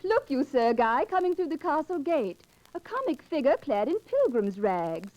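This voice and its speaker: Defiant young noblewoman, high and highly animated, arch old-time radio diction. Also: moderate pace, highs cut off. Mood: amused. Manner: arch, theatrical, archaic formal speech. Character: proud, mocking young noblewoman, spirited and defiant. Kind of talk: 1940s radio acting.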